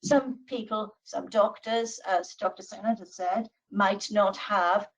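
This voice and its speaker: A woman with a Welsh accent giving a deliberate legal presentation on a video call, with frequent pauses and fillers. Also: medium pitch, steady tone, recorded from a distance, low-quality recording. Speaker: law professor speaking on a webinar. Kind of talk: measured expert presentation.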